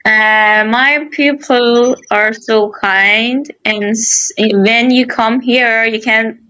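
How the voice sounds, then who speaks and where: Slow-speaking woman, bright voice. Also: fairly high pitch, steady tone, poor audio. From Iran. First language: Persian. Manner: hesitant, searching for words, laughs often. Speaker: conversation partner. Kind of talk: casual video-call chat.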